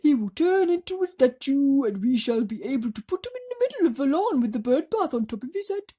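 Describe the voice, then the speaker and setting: A woman voicing a gloomy male earthworm in a children's storybook, dramatic and given to drawn-out complaining. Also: high-pitched, sing-song, dark tone, pitched above the performer's own voice. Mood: gleeful. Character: gloomy male earthworm relishing others' misfortune.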